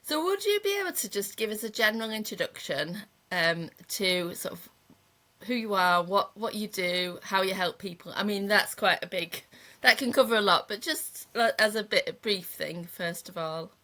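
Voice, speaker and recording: A woman's voice: medium pitch, animated, moderate pace, bright, forceful tone. A woman hosting a podcast interview in a chatty, loose way with fillers. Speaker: podcast host. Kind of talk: casual interview chat.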